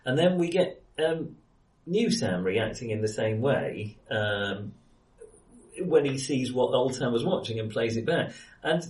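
A man talks about films in a casual, opinionated way, with a very lively, swooping delivery. He often stops to rephrase. The sound is roomy, with the microphone some way off. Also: mid-range voice, unhurried. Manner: hesitant, rephrases often, chuckles while talking. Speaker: podcast co-host reviewing films. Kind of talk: casual film discussion. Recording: roomy echo, distant microphone.

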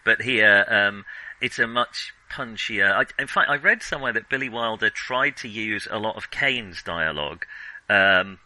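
A man's voice: on the low side, measured pace, bright, forceful tone, no echo, rough recording. A man co-hosting a film podcast in casual chat, who laughs at his own slips. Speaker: podcast co-host.

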